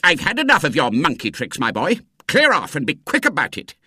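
A man performs an irascible male academic from a storybook. He snaps and roars with his voice driven high, swinging widely in exasperation. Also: wildly swooping pitch, fairly quick, pushed and bright, breathy edge, no echo, clean studio sound, full character voice. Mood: irritated. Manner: irate, snapping, roaring. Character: irritable angry male professor. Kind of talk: acted dialogue in storybook reading.

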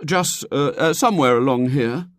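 A storyteller voicing a scheming, self-pitying young boy in an audiobook, halting and colloquial. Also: mid-range voice, sing-song, dry recording, studio quality, voice pushed up. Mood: nervous, evasive. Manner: halting, mumbled hesitations, colloquial grammar.

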